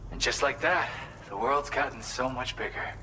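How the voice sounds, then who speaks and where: A man's voice: mid-range voice, normal speed, lightly acted, rough recording. An actor playing a friendly, upbeat man in a video game, talking in a casual, welcoming way. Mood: marvelling. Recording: loud ambient noise behind.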